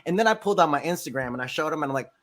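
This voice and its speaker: Male podcast host, slightly high pitch, animated storyteller, comedian, casual joking conversation, acts out quotes. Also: rapid-fire. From California.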